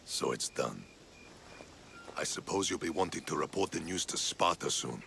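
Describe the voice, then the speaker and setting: An actor playing a stern, disapproving Spartan general with a gravelly voice. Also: male voice, very deep voice, animated, moderate pace, pushed and bright, a little hiss or hum, full character voice.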